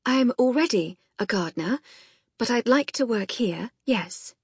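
A woman's voice: a little high, animated, measured pace, breathy edge, dry recording, clean studio sound, voice pushed up, exaggerated voice. A woman narrator playing a proud, straightforward woman gardener who speaks politely and directly, in an audiobook. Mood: composed.

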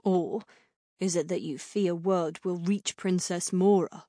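A woman's voice: fairly low pitch, steady tone, slow pace, mellow, no echo, clean studio sound. A woman voicing a bitter, bedridden king in an audiobook, with a drawling, dryly sarcastic manner. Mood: sardonic. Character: bedridden poisoned young king, sardonic and bitter.

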